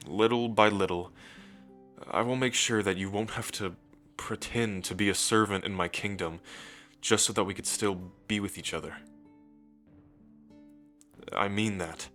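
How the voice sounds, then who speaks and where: A low-pitched dragon king, acted by a man, romantic and proud. He speaks tenderly and without hurry, teasing softly in an intimate ASMR roleplay, with music playing behind him. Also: steady tone, measured pace, pauses often. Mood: tender, earnest.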